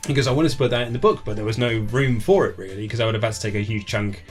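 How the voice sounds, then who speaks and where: A man's voice: mid-range voice, very fast, faint music behind. A man chatting casually as an interview guest, telling anecdotes and acting out remembered bits of dialogue. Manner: chatty, acts out remembered dialogue in his stories.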